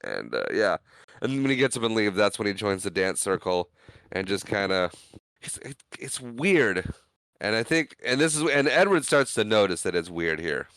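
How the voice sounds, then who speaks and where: A man's voice: on the low side, very animated, moderate pace, fairly bright tone, clean tone, no room sound. A man co-hosting a podcast, retelling film scenes in casual chat, acting out the characters' quoted lines. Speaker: podcast co-host.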